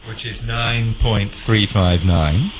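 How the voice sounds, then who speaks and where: A man's voice: lowish voice, slow pace. A man chatting spontaneously on location as a reporter. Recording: noisy radio static behind voice.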